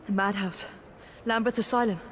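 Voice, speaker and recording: A woman's voice: mid-range voice, fairly even intonation, normal speed, fairly bright tone, a little hiss or hum, natural voice. An actress with an English accent playing a determined, intense Victorian woman assassin in a dramatic video game, speaking in a clipped manner. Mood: grim resolve. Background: music.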